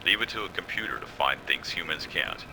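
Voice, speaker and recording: A man's voice: low-pitched, expressive, a little fast, sharp tone, slight background noise, heavily acted, rough recording. A man playing a stern, skeptical military general in an audio drama, blunt and questioning.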